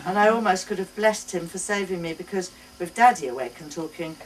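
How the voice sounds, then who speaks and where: A woman giving an expressive live reading of a first-person short story. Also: lowish voice, a little fast. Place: indoors at a bookshop book launch. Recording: distant mic, noisy live bookshop event.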